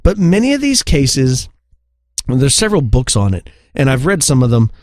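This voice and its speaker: A man's voice: mid-range voice, very animated, normal speed, a little mellow, no room sound, clean studio sound. A man co-hosting a podcast, chatting casually and telling stories in a conversational way. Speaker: podcast co-host. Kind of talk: casual chat, storytelling.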